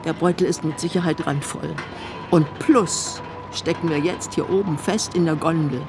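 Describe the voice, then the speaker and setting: A woman's voice: deep voice, normal speed, over music. An actress playing a frail, eccentric woman in her eighties, imperious and needling, in a German radio play. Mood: complaining. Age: elderly. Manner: theatrical, scolding, pleading.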